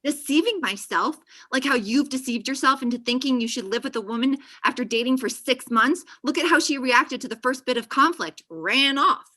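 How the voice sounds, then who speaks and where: A blunt young woman, played by an actress, with a voice on the high side. She is defensive and argumentative, as read in a comedy table read. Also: animated, moderate pace, hardly any pauses, sharp tone, heavily acted. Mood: confrontational. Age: young adult. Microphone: video call.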